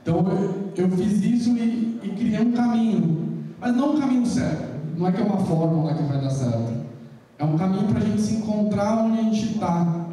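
Man, 30s, from Brazil, earnest motivational speaker, slightly high voice, echoey hall. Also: animated, normal speed, warm tone, distant mic. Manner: earnest, searching, frequent restarts. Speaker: speaker at an entrepreneurship fair. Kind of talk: motivational talk. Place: large conference room, indoors. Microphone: handheld mic, heard from far off.

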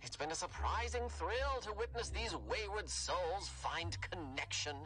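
An actor playing a sly, refined demon who speaks in an old-fashioned, theatrical way in an animated series. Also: male voice, high voice, unhurried, music in the background. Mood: sentimental. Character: genteel, sly male radio demon.

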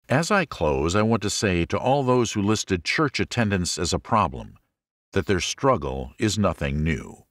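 A man narrating a religious self-help audiobook in a measured, deliberate way with clear phrasing. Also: sits low, no echo, studio quality.

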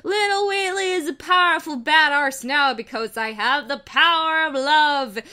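A woman's voice: high-pitched, unhurried, pushed and bright, pitched above the performer's own voice. A woman voicing a crude, panicky male robot sidekick with a British accent, in a fan fiction reading. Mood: boastful.

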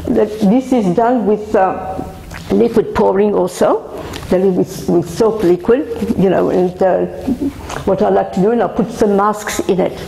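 Older aussie lady chatting at a gallery, gravelly warm voice, lots of uhs, bit of room hum. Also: mid-range voice, reverberant, rough recording. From Australia. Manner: halting, many fillers and restarts, gestures while talking. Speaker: artist. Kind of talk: casual talk at a gallery opening.

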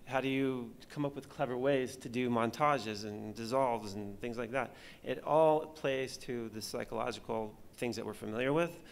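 A middle-aged man speaking on a conference panel as a film director, halting, with many fillers and restarts, into a table mic on stage. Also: mid-range voice, vocal fry. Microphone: table mic on stand.

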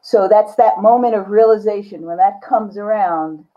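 An older woman with a husky voice, chatting casually and informally as an artist on a video call. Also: medium pitch, moderate pace, highs cut off, a little hiss or hum. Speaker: visual artist, guest. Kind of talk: casual chat explaining own artwork. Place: book-lined home studio, indoors. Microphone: computer mic on a video call.

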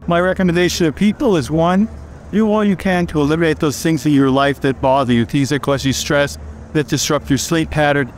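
A man's voice: a little high, brisk, soft background music. A man giving firm, cautionary advice to camera at an indoor event. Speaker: interviewee.

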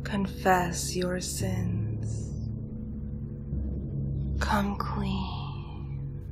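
A woman acts out an evil spirit in the guise of a nun, seductive and accusing. She draws out her words very slowly, breathy and often whispering, in an ASMR-like dramatization with prominent music behind her. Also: on the low side, little pitch movement. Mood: coaxing, soothing.

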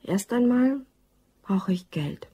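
Casting: down-to-earth secretary in a radio play, slightly husky, natural delivery. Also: female voice, medium pitch, animated, slow pace, warm tone. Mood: confiding. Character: patient, practical female secretary dreaming of her own business. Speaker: actress in a crime audio drama. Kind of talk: German radio play dialogue.

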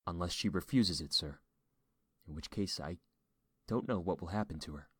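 A man's voice: low voice, normal speed, breathy edge, no room sound, clean studio sound. A man voicing a fearful female emergency dispatcher in an audiobook, with a shaky, hesitant, secretive manner. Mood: hushed, ominous.

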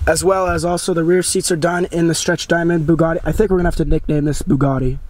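A young man with a hoarse, raspy voice from a cold, excitedly rambling to a handheld camera as a vlogger, outdoors and in a shop. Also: a little high, fast, a little hiss or hum. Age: young adult. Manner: excited, rambling, lots of fillers. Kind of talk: casual vlog talk to camera. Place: outdoors by a house and inside an auto upholstery shop. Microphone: handheld camera at arm's length.

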